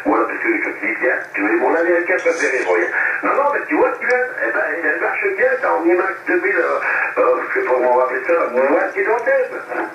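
A man's voice: fairly high pitch, very animated, quick pace, a bit bright, muffled recording. Echoey, distant man over CB radio. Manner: rambling, hesitant, repetitive. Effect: voice heard over two-way radio. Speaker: amateur CB radio operator. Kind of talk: casual radio chat. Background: radio static noise.

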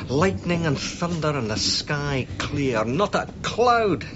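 An actor playing a hearty, easygoing archaeologist in a radio drama, talking informally and conversationally. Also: male voice, on the high side, sing-song, slow. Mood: exhilarated. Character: hearty, easygoing archaeologist, male colleague back from illness. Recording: dull, faintly noisy radio recording.

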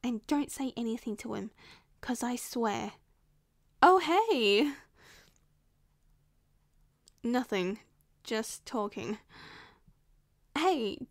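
A woman's voice: fairly high pitch, no room sound, clean studio sound, heavily acted. A woman with an Australian accent playing a possessive, scheming young woman in an ASMR roleplay, sweet and coaxing. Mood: tense, guarded.

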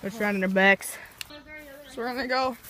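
A teenage boy vlogging casually while exploring outdoors and inside an empty house, excited and laughing, filmed on a handheld phone. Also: sits high, fairly quick, crowd noise. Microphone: handheld phone camera.

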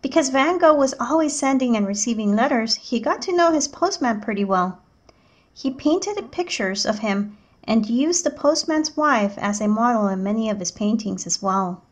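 A woman reading a picture book aloud as a teacher, in measured, clearly enunciated storytelling narration. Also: a little high, lively intonation.

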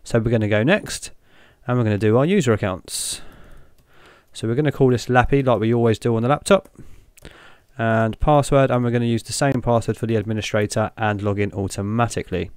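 A young man hosting a tech channel, talking through each step in a relaxed way as he clicks through an install on screen. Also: mid-range voice, brisk, clean tone, no room sound, clean studio sound. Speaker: tech video host. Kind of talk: screen-recorded walkthrough narration.

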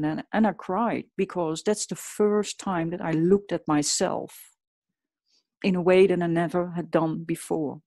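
A woman with a Dutch accent speaks in a fairly low voice, earnest and reflective, her phrasing now and then a little non-native. The sound is dry and close, with no room around it. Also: darkish tone, no echo. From Netherlands. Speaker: guest artist. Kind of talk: podcast interview.

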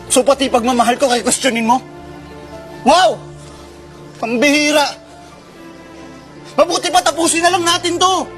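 Casting: man as indignant boyfriend, very high strained pitch, melodramatic, faint music. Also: steady tone, a little fast, warm tone. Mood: indignant, angry. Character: indignant boyfriend ending a relationship.

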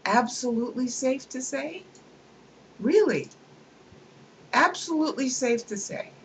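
A woman's voice: sits high, animated, a little slow, slight background noise. A woman reading a book aloud at her computer and breaking off into emphatic, scornful commentary. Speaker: reader and commentator.

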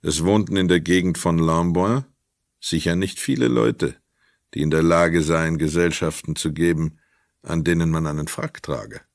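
A man narrating a radio drama from a script in a measured, literary storytelling manner. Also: low voice, fairly even intonation, no echo, clean studio sound.

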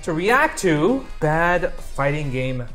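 Lively young man, casual banter. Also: on the high side, slow, faint music behind. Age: young adult. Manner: often tags statements with "right?". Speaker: reaction show host. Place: small furnished room indoors, on a couch.

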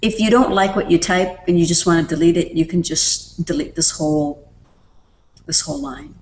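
A woman with a low, deep-set voice talks through a computer program one step at a time. Her explaining is relaxed and plain, with the odd "um". A faint room hum sits under her voice. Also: moderate pace, rough recording. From Canada. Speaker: online tutorial presenter. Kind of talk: screen-recorded software tutorial.

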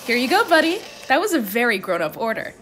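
Friendly young female barista played by an actress, chirpy and high with lively swings, radio play. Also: quick pace, slight background noise. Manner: chirpy, sweet customer service.

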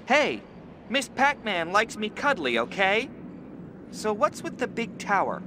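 A man voicing a cheerful, wisecracking yellow cartoon hero in a video game, with breezy sarcastic quips. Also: high-pitched, wildly swooping pitch, a little slow, noisy background, exaggerated voice. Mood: wry. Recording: loud game music over voice.

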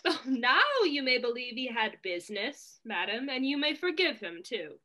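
A woman's voice: a little high, animated, moderate pace, pushed and bright. A young woman playing a snarky waiting woman in a Restoration comedy, pert and sarcastic. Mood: scornful, mocking. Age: young adult. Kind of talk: theatrical period stage acting over video call. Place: indoor room at home, on video call.

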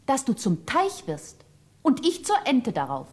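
A woman's voice: high voice, wildly swooping pitch, a little slow, over loud music, full character voice. An actress playing a loyal, tender young girl with an earnest, childlike manner in a fairy-tale audio play.

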